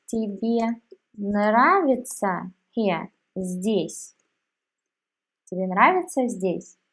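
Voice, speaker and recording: A woman teaching a language lesson, saying each word separately with long pauses. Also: mid-range voice, expressive. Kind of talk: slow, deliberate language lesson.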